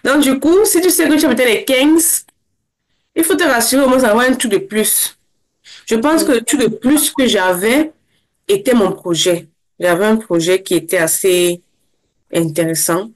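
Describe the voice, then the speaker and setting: A young woman from Cameroon, a guest in an interview, speaking casually and fast, with many restarts. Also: fairly high pitch. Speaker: interview guest, former scholarship holder.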